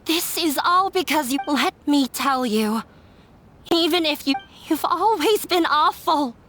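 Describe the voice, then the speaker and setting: A woman's voice: high voice, lively intonation, unhurried, fairly bright tone, slight background noise. A woman voicing a nervous but hot-tempered young woman in a video game, flustered and emotional. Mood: angry. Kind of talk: video game character acting. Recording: music playing under the voice. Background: music.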